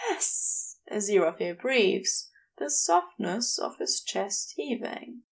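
A woman narrating scripted fiction as an audiobook-style reader, in an intimate, measured storytelling manner. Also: medium pitch, lively intonation, creaky, rough recording. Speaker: podfic reader.

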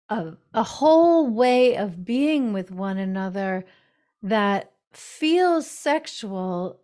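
Mulher de fala lenta e pausada. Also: female voice, mid-range voice, a little mellow. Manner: slow, reflective, unhurried speech. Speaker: author and guest. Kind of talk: podcast interview.

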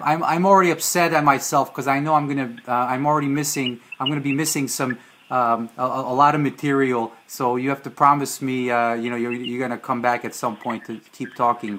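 Chatty host with a slight Hungarian accent. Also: male voice, mid-range voice, fairly bright tone, a little hiss or hum. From New Jersey. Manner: hesitant, many fillers and restarts. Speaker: podcast host. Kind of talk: casual interview.

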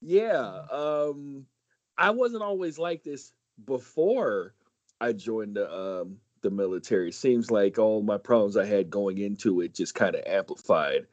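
A man's voice: a little slow, dry recording, muffled recording. A man in his late thirties from San Diego, a podcast guest chatting casually and swearing freely. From San Diego, USA. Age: 30s. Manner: swears freely, jumps high in pitch when animated. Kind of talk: casual chat.

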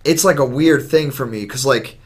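A man co-hosting a podcast, talking in a rambling, profane way and filling every pause with "like". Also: mid-range voice, breathy edge. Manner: rambling, profane, heavy use of "like". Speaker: podcast co-host. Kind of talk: casual podcast chat.

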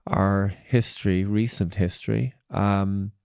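A man's voice: fairly low pitch, steady tone, takes it slowly, gravelly, darkish tone, smooth, no echo, studio quality. A man interviewing someone conversationally as a podcast host, putting his questions tentatively and in fragments.

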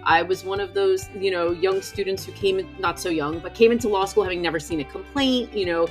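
An American woman with a mid-pitched voice talks as a podcast guest. She tells personal stories in a chatty, conversational and self-deprecating way, with faint music in the recording. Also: brisk. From United States. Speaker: podcast guest, law professor and novelist. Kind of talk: conversational personal storytelling in an interview.

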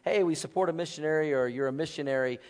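A man's voice: a little high, fast. A man, asking questions as a church interviewer in casual, conversational phrasing. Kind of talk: casual interview before a congregation.

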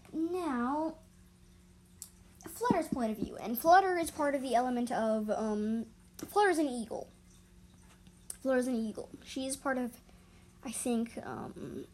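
A woman with a fairly high voice narrates animal stories in an amateur way. She stumbles, repeats herself and steps out to explain. There is audible background noise on the recording. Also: darkish tone. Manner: halting, stumbles and repeats words. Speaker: storyteller reading own animal stories.

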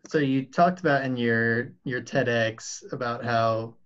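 A man's voice: mid-range voice, steady tone, takes it slowly, a bit bright, highs cut off. A man co-hosting an interview, asking rambling questions full of restarts and you-knows. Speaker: podcast co-host.

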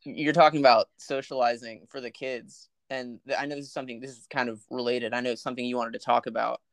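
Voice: mid-range voice, level tone, quick pace, no room sound. A podcast host chatting casually with a friend, asking loose, meandering questions and laughing along. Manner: friendly, rambling prompts with fillers and restarts. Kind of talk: casual chat.

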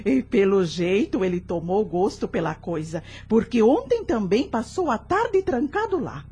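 A lively, husky house maid. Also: female voice, mid-range voice, full character voice. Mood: chatty. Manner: deferential, quick replies. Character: loyal, attentive female maid. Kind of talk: radio drama acting.

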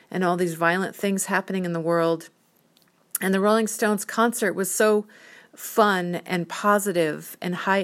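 Dreamy fifty-year-old woman musing aloud. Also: fairly low pitch. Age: 50s. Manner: dreamy, rambling, spiritual musings. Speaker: podcast host. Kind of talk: reflective solo monologue.

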